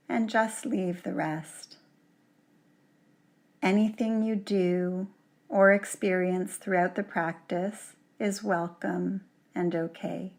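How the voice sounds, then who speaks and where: A woman yoga teacher leading a guided relaxation in a gentle, soothing manner, leaving long pauses between short phrases. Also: on the low side. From Canada.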